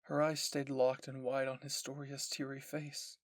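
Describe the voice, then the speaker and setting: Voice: mid-range voice, flat delivery, normal speed, no echo. Dry, close dramatic story narrator. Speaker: narrator and voice of all characters.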